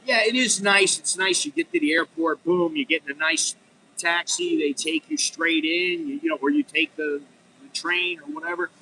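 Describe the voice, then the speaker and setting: Bright-voiced American man rambling frankly. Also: fairly high pitch, normal speed, slight background noise, rough recording. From United States. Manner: rambling, frank, with many "you know"s and restarts. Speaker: vlogger. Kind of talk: unscripted casual monologue.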